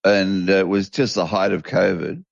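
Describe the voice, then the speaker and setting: Man, low gravelly voice, halting chat, dull lo-fi recording, dry close sound. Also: steady tone, no room sound. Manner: halting, restarts often. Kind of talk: casual chat.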